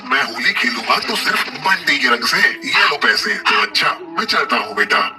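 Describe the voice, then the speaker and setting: A man's voice: medium pitch, big pitch swings, moderate pace, distant mic, close to an everyday voice. Man voicing an elderly grandfather bird over music. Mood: affectionate, cheerful. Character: kindly elderly grandfather bird, affectionate village uncle. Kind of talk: Hindi moral-story cartoon dubbing.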